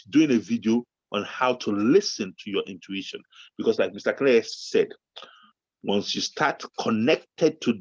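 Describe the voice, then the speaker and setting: Yoruba-speaking Nigerian man, co-host, animated preacher cadence, lo-fi dry sound. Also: medium pitch, slightly rough, no echo. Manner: animated, preacher-like build-ups, bursts into shouting. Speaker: podcast co-host. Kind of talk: motivational talk show chat.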